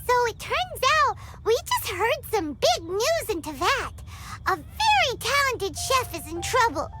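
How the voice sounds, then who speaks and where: A woman voicing a tiny, excitable female fairy companion in a game cutscene, telling a story in a playful, animated way. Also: measured pace, heavily acted. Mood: storytelling. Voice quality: high squeaky childlike voice. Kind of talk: video game cutscene dialogue. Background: loud game music.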